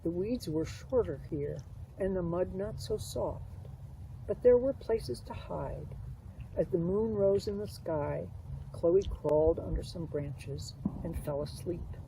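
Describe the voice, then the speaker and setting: A woman's voice: fairly low pitch, takes it slowly, creaky, dark tone. Distant-miked woman reading a children's storybook. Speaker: author reading own children's book aloud. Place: outdoors beside a pond. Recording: distant mic, faint outdoor noise.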